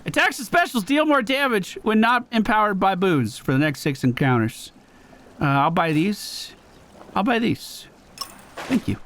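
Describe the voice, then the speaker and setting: A man's voice: sits high, expressive, unhurried, fairly bright tone, slight background noise. A man streaming a game, giving casual, slangy commentary as he thinks aloud. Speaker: gaming streamer. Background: game music.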